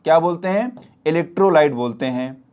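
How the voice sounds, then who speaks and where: A man's voice: fairly high pitch, animated, normal speed, a little mellow, smooth. A man teaching an exam-prep science lesson, repeating points and often checking with questions that students follow. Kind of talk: spoken classroom lesson.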